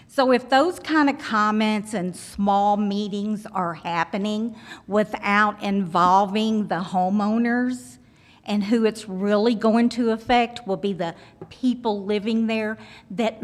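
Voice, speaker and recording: A woman, a local resident, addressing a zoning board loudly and emphatically, appealing directly to its members. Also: mid-range voice, long reverb tail. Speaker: resident speaking at a public hearing. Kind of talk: public comment at a zoning meeting.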